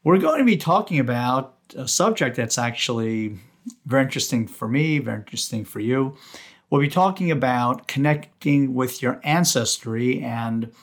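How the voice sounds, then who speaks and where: A man whose first language is Ukrainian, hosting a casual podcast chat in an earnest, halting way with frequent restarts. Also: mid-range voice, lively intonation. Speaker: podcast host. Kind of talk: casual conversational interview.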